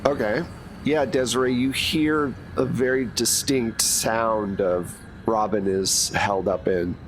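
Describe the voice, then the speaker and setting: A man's voice: fairly low pitch, fairly even intonation, slow. A man running a tabletop game as game master, narrating casually and loosely. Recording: noisy background hiss.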